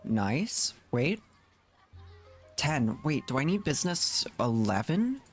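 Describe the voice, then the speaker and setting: A man's voice: medium pitch, very animated, slow pace. A man doing casual gameplay commentary as a streamer, thinking aloud and muttering to himself in short fragments. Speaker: gaming streamer. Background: background music.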